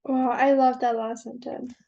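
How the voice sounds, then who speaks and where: A woman's voice: high voice, level tone, moderate pace, gravelly, dark tone, highs cut off. A woman interviewing a writer for a literary journal, asking friendly questions with hesitant phrasing.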